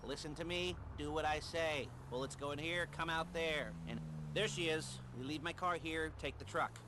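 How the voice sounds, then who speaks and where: A man acting a nerdy heist planner over loud car noise. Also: fairly high pitch, moderate pace, close to an everyday voice. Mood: sardonic, rambling. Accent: American. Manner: rambling, sardonic, awkward muttered asides and chuckles. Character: nerdy, snarky, awkward middle-aged criminal heist planner. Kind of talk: video game cutscene dialogue.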